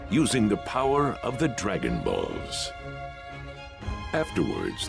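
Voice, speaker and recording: A man narrating a heroic video game story in a solemn, measured, dramatic delivery. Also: on the low side, gravelly, heavily acted. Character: solemn adult male narrator of heroic tales.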